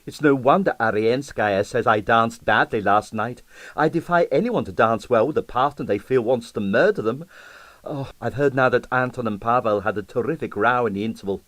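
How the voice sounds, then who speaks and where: A man's voice: medium pitch, moderate pace, a bit bright, no room sound, lightly acted. Guy doing a young ballerina in a mystery audiobook, wry and mischievous, kinda confiding, dry recording. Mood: wry, indignant.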